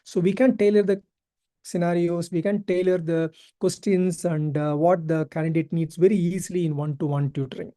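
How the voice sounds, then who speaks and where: Mellow-voiced male tutor giving advice. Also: on the high side, fairly even intonation, normal speed. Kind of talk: informal explanatory online talk.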